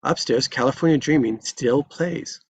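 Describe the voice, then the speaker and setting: A man reading screenplay stage directions as dramatic narration, in terse, deliberate phrases. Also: medium pitch, breathy edge, dry recording.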